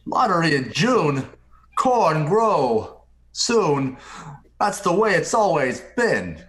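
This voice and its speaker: A man's voice: a little high, very animated, takes it slowly, a bit bright, heavily acted. A man playing a scolding villager who sides with tradition in an amateur radio play. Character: gruff villager backing the old man.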